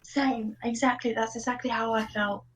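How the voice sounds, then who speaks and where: A woman's voice: on the high side, fairly even intonation, normal speed, poor audio. A woman giving emphatic reactions as a commentator in a casual group chat. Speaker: panel commentator.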